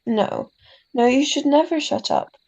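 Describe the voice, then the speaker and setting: Woman acting a frail, gentle mother, creaky voice. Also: high voice. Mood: gently reflective. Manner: tender, halting, philosophical, tags sentences with huh. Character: ailing, gentle, wise immigrant mother. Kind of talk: classic radio drama acting.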